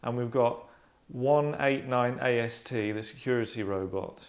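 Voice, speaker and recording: A man in his thirties hosting a casual group discussion, talking in a hesitant, rambling, deadpan way. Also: mid-range voice, measured pace. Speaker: host and narrator of a table-read show. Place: small furnished lounge, indoors.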